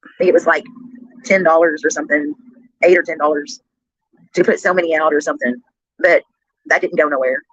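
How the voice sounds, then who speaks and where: A man rants casually at a rapid clip, swearing and sounding sarcastic and aggrieved. His voice sits a little high and has been altered in playback speed. The sound is dull and dry. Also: fairly even intonation, fairly bright tone, no room sound. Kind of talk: casual rant. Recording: dull, lo-fi replayed stream audio.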